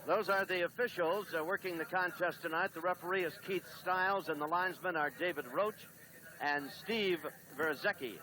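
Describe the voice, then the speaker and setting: A man doing play-by-play on a 1970s television soccer broadcast, briskly reading lineups and standings. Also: sits high, crowd noise, low-quality recording. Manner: brisk, reads lineups and stats. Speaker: play-by-play announcer.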